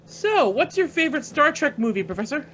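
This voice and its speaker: A man's voice: very high-pitched, big pitch swings, brisk, pushed and bright, heavily acted. Eager young male student pilot, swooping boyish intonation, slangy, carefree, radio play, vehicle noise behind. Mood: chatty, upbeat. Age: young adult. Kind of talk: naturalistic radio play acting.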